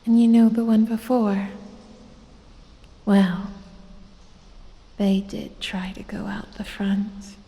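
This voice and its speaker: A woman voicing a sinister lamia, a predatory snake-woman, in breathy whispers with a falsely sweet, possessive menace for an ASMR audio roleplay. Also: medium pitch, level tone. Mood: gloating, cruel. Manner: drawn-out, softly taunting, falsely sweet, possessive. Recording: long cavernous reverb.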